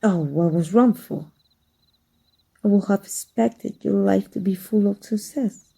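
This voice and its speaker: A secretive, cunning teacher of dark magic, played by a woman in an ASMR roleplay, soft and measured and slipping into whispers. Her voice is dark and husky, with a gravelly fry at the ends of phrases. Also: mid-range voice. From Mexico. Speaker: voice actor.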